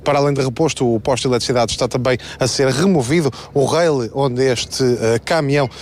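A male reporter with a European Portuguese accent talks quickly, filling gaps with hesitant "eh" sounds. He reports live from the road. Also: mid-range voice, darkish tone, slight background noise. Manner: fast, with frequent filler hesitations. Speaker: field reporter. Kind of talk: live on-scene news report. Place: outdoors at a roadside accident scene.

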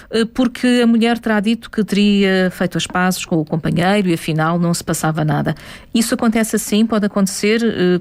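A woman's voice: on the low side, a little mellow. A woman with a European Portuguese accent, hosting a radio interview and asking earnest questions with some hesitation. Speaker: radio host.

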